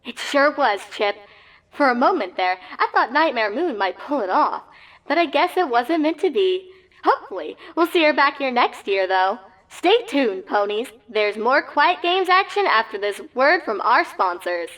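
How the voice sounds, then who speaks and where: A woman puts on a breezy pony sportscaster for a comic story. The voice is high and very lively in pitch, polished and showy, like parody sports commentary. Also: moderate pace, poor audio. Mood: chipper. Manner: showy broadcast delivery.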